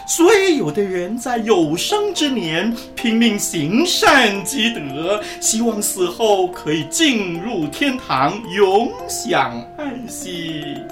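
A woman playing an expressive, theatrical narrator in an audio drama who muses and exclaims about heaven. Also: medium pitch, slow, few pauses. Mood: musing. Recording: roomy, distant, music behind.

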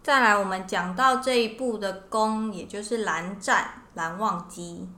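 A woman's voice: mid-range voice, unhurried, sharp tone. A woman co-hosting a casual podcast conversation about fiction. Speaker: podcast co-host. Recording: roomy echo, faint background noise.

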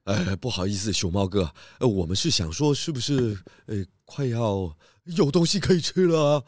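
A man's voice: on the low side, expressive, normal speed, darkish tone, no echo, clean studio sound, close to an everyday voice. A man playing a good-natured pig detective in a children's audio drama, polite and exclamatory, with hesitant little filler sounds. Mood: polite, eager.

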